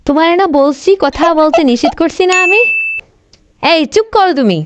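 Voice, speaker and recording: A bossy, melodramatic old grandmother from a comic cartoon , acted by a woman. Her voice sits high, swinging between gushing and nagging. The amateur dub has clear background noise. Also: lively intonation, normal speed, dull audio. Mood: scolding. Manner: scolding, melodramatic, gushing over her brother. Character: bossy elderly grandmother politician, doting sister, nagging wife.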